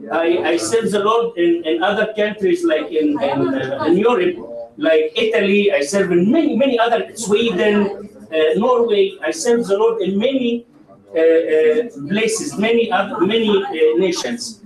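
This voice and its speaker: An evangelist man giving a conference testimony, halting with many fillers and restarts. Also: high-pitched. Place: large conference hall. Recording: roomy hall with crowd, distant mic.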